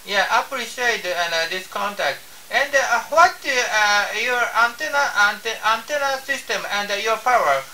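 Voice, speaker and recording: A man with a Japanese accent works an amateur radio contact in halting, repetitive English. His voice is bright and sharp, sounding set back from the mic over a hissy shortwave link with light noise. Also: sits high, lively intonation, recorded from a distance, low-quality recording. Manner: halting English, repeats phrases. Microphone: ham radio station microphone.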